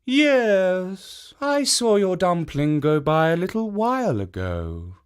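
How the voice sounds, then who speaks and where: A man's voice: high voice, sing-song, slow pace, smooth, voice pushed up, exaggerated voice. A man voicing a kindly stone statue of a guardian monk in a children's story, politely giving careful warnings.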